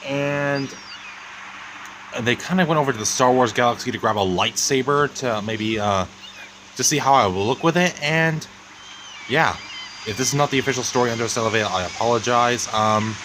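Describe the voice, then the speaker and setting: A man's voice: mid-range voice, fairly quick, background noise, lightly acted. A man playing an earnest, apologetic newcomer monster hero, rambling hesitantly in an amateur story roleplay.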